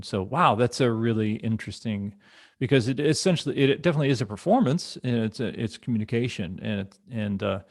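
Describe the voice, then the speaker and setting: A man's voice: lowish voice, dry recording, studio quality. A man hosting a casual podcast chat in an enthusiastic, effusive manner. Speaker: podcast host.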